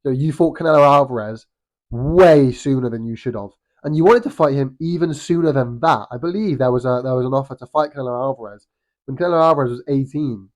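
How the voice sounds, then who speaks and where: A man's voice: mid-range voice, fast, low-quality recording. British man with a nasal cold. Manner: rambling, frequent restarts and ums. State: has a cold, slightly nasal. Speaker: boxing vlogger. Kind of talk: casual opinion monologue.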